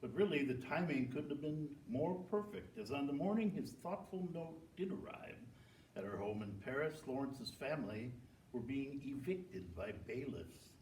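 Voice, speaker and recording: A man's voice: mid-range voice, expressive, normal speed, a little mellow, slight background noise. A man performing a dramatic scripted letter reading with emphatic delivery, in a distant, roomy live recording.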